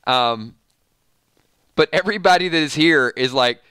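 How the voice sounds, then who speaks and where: A man's voice: a little high, measured pace, bright, forceful tone, clear, resonant tone, no echo, clean studio sound. A man with a Georgia accent hosting a casual live stream, rambling and laughing as he chats with viewers. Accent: Georgia (US South). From Georgia, USA. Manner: chatty, rambling, laughs often, reads viewer comments. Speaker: show host.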